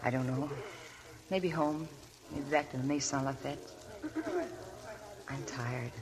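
An actress playing a weary, heartsick young wife in a 1940s radio drama. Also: female voice, rumbling low, fairly even intonation, slow, crowd noise. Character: weary, heartsick young wife telling her sad past. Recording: old 1940s radio broadcast, audience murmur.

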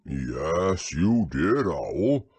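A man voicing a humble, earnest, slow-witted bear in a storybook, with a plodding manner. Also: low voice, very animated, a little mellow, breathy edge, no echo, pitched below the performer's own voice, heavily acted. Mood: insistent. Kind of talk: storybook character reading.

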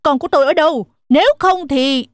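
A woman's voice: high voice, a little fast, no room sound, clean studio sound, lightly acted. Woman voicing a stern cartoon mother goat. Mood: angry, threatening. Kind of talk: children's cartoon dub.

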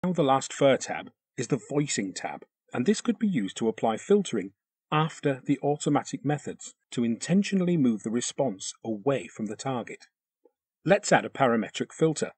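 A man delivers scripted software tutorial narration with a lively, varied pitch. His voice is a little husky, and he explains each step precisely. The sound is close and very dry. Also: normal speed, no room sound.

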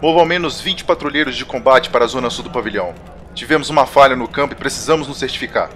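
A man's voice: medium pitch, fast, a bit bright, a little hiss or hum, close to an everyday voice. A man playing a wary, commanding councillor in an audio drama. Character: commanding male councillor, wary, urgent superior officer.

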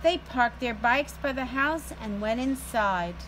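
Slow, high-voiced woman reading to children. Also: a bit bright, poor audio. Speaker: storyteller reading a picture book for children. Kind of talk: slow read-aloud storytelling. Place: outdoor home patio. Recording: some background noise, outdoor ambience.

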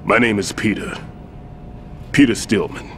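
An actor playing a weary, self-deprecating old retired bomb expert in a video game. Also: male voice, fairly low pitch, a little slow, a little hiss or hum, close to an everyday voice. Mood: weary, regretful. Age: older. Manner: weary, regretful. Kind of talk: video game cutscene acting.

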